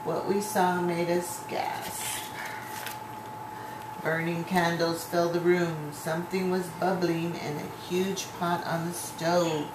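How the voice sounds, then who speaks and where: Woman doing storytime, slow and dramatic, echoey room with noise behind her. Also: fairly low pitch, level tone. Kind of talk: expressive storybook read-aloud to children.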